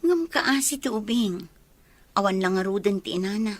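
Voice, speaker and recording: A woman's voice: mid-range voice, animated, moderate pace, no echo, close to an everyday voice. A woman playing a compassionate, gossiping village neighbour in an Ilocano radio drama. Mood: concerned gossip.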